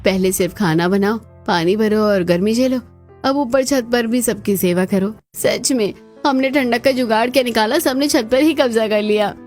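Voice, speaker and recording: Woman playing chatty, high-voiced cartoon housewife. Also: moderate pace, a little mellow, faint music behind, natural voice. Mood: weary complaining. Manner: exclamatory, chatty, homely. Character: housewives and mothers-in-law in a family cartoon. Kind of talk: scripted cartoon dialogue.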